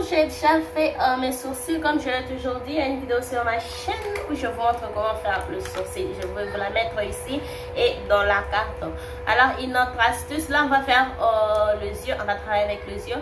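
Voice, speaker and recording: A woman's voice: a little high, moderate pace, over music. A young woman with a cold, chatting through a makeup tutorial and often repeating herself. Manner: chatty, repeats words, many fillers. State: has a cold. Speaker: beauty vlogger. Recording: roomy, distant, noisy home recording.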